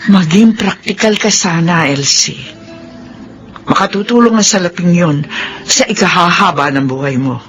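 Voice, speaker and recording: An actress playing a controlling middle-aged Filipino mother in a radio melodrama, deliberate and imploring in her persuasion. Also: fairly low pitch, soft background music, natural voice. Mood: cold, coaxing.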